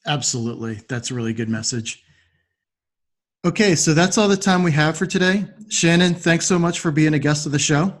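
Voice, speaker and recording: Casting: male podcast host, slightly high-pitched voice, interview style. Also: a little fast. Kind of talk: interview hosting.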